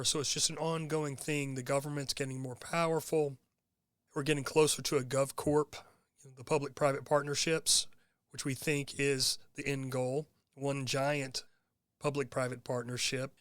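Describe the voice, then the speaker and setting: Hoarse man hosting a podcast. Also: medium pitch, fairly bright tone, dry recording, studio quality. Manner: earnest, rambling with fillers. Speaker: podcast host. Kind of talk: casual monologue.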